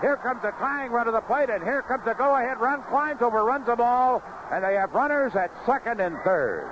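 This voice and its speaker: An old 1970s radio broadcast, muffled and full of noise, carries a man calling baseball play-by-play. His voice is fairly high and swings widely in pitch. He speaks in clipped phrases with pauses between pitches. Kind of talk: live radio sports commentary. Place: outdoor ballpark broadcast booth.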